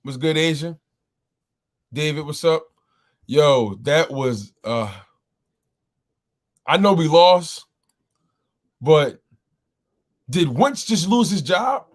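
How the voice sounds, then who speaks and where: A man with a slightly scratchy voice hosts a live sports talk stream. He speaks slowly and drawn out, greeting his chat by name and repeating his points with loud exclamations. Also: on the high side, lively intonation. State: throat a bit rough. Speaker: host of a sports call-in show.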